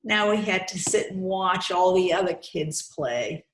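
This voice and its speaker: A woman reading a storybook aloud to young children, expressive and coaxing, stopping to put questions to her listeners. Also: fairly low pitch, a little slow, slight background noise. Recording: roomy, echoey sound.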